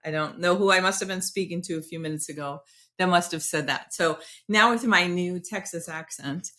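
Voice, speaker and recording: A woman hosting a podcast interview, talking in an excitable, rambling, self-deprecating way. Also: lowish voice, moderate pace. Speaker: podcast host. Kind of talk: casual interview chat.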